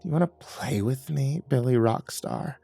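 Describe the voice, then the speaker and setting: A man's voice: mid-range voice, huge pitch swings, measured pace, darkish tone, no room sound, faint music behind, exaggerated voice. A man voicing a drunk, volatile married woman with a crooning, needy manner in a narrated story. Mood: flirty, crooning. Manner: crooning, wheedling.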